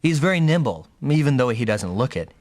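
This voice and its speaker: A man's voice: mid-range voice, very animated, brisk, a little mellow, no echo, lightly acted. A man voicing a young, easygoing, teasing mech pilot in an anime-style video game dub. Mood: businesslike. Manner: casual, teasing.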